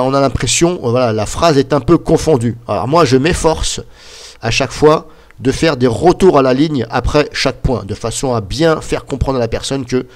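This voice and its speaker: A man with a slight cold, talking casually over a screen recording as a YouTuber, rambling and pointing things out with lots of "bah" and "voilà". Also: medium pitch.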